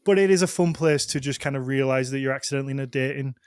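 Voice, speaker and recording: A man's voice: medium pitch, racing, clean tone, no room sound, clean studio sound. A man co-hosting a podcast, chatting casually in a rambling way full of filler words and acted-out quotes. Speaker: podcast co-host. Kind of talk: casual chat.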